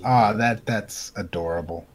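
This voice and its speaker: A man's voice: on the low side, unhurried. A man co-hosting a podcast, teasing the others with jokey asides. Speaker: podcast co-host. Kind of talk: casual chat.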